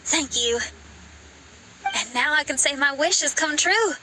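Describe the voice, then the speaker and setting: A woman's voice: high voice, animated, measured pace, noisy background. A woman playing a spirited, passionate young female rock musician in a video game. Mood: satisfied. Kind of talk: English video game voice acting.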